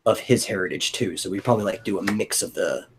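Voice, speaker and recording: A man's voice: on the low side, quick pace, a little hiss or hum. A man hosting a creative stream, chatting casually and informally as he thinks aloud. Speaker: host of an audio drama brainstorming stream. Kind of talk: casual group brainstorming chat.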